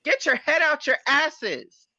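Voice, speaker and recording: A man with a high, sharp voice argues his opinions bluntly and emphatically. He swears freely as a panelist in a heated discussion. The sound is dry and slightly dull. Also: big pitch swings, takes it slowly, no echo. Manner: blunt, foul-mouthed, emphatic. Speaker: panelist on a pop culture discussion show.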